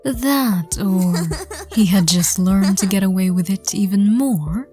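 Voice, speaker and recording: A woman narrating a scripted audio roleplay in a soft, breathy, whispery voice. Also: mid-range voice, slow pace, soft background music.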